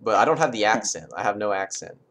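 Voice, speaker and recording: A man's voice: lowish voice, animated, fast, a bit bright. A man from the United States hosting a live chat from home, talking in a rambling, friendly and encouraging way. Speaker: host.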